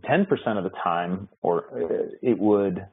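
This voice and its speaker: A man presenting benchmark results at an online meetup, talking in a halting way with many fillers and restarts. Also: low-pitched, breathy edge. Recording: tinny phone-like web call audio.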